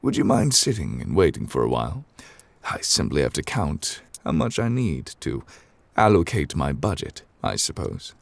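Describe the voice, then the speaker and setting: A man's voice: big pitch swings, normal speed, no echo, exaggerated voice. A man voicing a courteous, absent-minded ancient god in human form for an ASMR roleplay, speaking formally and wordily with polite apologies. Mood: polite, unhurried. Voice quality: very low, creaky. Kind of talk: ASMR character roleplay, improvised.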